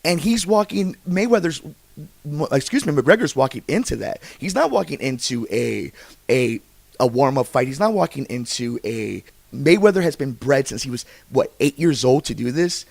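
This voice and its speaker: A man's voice: medium pitch, lively intonation, normal speed, vocal fry, no echo. A man hosting a sports podcast, reading promos and interviewing guests about fight sports.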